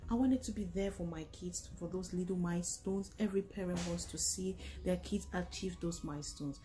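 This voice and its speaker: A woman from Cameroon speaks sincerely and gratefully in a lowish, mellow voice. Soft music plays faintly behind her. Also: normal speed, poor audio. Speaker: healthcare worker. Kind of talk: personal testimonial.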